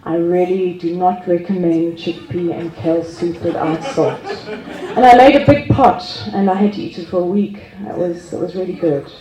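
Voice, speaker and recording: A woman's voice: fairly low pitch, normal speed, few pauses, roomy sound, a crowd in the background, rough recording. A woman speaking into a microphone at a group gathering, sharing her experience in a casual, self-deprecating way with frequent laughs and restarts. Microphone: handheld microphone.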